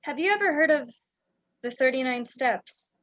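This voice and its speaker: A woman's voice: high voice, lively intonation, moderate pace, a bit bright. A young woman playing a frightened, secretive spy in an amateur radio play, speaking urgently and dramatically. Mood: urgent, grave. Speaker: student actor in a school radio play. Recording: dull lo-fi home recording.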